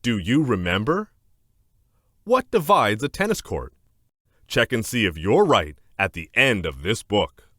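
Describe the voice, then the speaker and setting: A man narrating a children's educational book aloud in a scripted, clear and deliberate instructional manner. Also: fairly low pitch, big pitch swings, a bit bright, no echo, studio quality.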